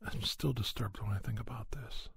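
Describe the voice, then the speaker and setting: A man telling a spooky first-person story in a soft, breathy ASMR style, often near a whisper, in deliberate short phrases with pauses. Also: lowish voice. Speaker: podcast storyteller.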